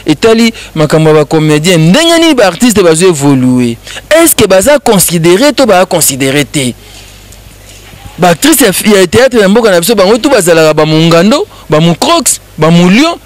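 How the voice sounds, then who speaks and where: A man's voice: fairly high pitch, no echo. Chatty man hosting a talk show. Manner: chatty, rambling, switching languages freely. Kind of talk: casual chat show hosting.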